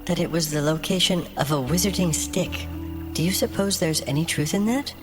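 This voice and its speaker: A man's voice: on the high side, normal speed, music in the background, voice pushed up, close to an everyday voice. A man voicing a frank, weary woman adventurer in a fantasy audio drama. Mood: questioning.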